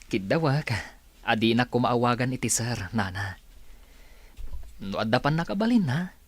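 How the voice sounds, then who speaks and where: A man acting in an Ilocano radio drama as an earnest, lovestruck gentleman suitor who pleads his case. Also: mid-range voice, lively intonation, moderate pace, natural voice. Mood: earnest, pleading.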